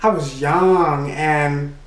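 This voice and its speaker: A man with a naturally high voice recounts a personal story in an unhurried, rambling way. He fills his speech with "you know" and laughs between his remarks. He sits back from the mic in an echoey room. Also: fairly even intonation, recorded from a distance. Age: adult. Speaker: vlogger. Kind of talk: casual storytime monologue.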